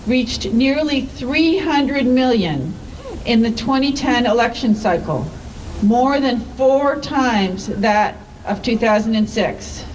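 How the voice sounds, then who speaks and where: A woman with a somewhat high-pitched voice reads formal letters out loud in public. Her phrasing is measured and deliberate, with clear emphasis. The microphone sits away from her, and noticeable ambient noise runs behind the voice. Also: expressive, distant mic, low-quality recording. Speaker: activist reading statements aloud at a public event.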